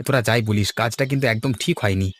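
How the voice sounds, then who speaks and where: A man's voice: fairly low pitch, very fast, natural voice. A man voicing an emphatic, argumentative young village lad who schemes with friends in a cartoon story. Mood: regretful.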